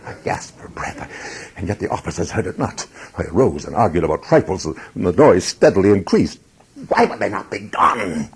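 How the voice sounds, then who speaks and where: Theatrical guilt-crazed murderer confessing. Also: male voice, medium pitch, creaky, breathy edge, exaggerated voice. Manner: theatrical, swinging from hushed whispers to screams. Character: guilt-crazed murderer confessing, boastful then frantic with terror. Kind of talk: dramatic Gothic horror stage reading.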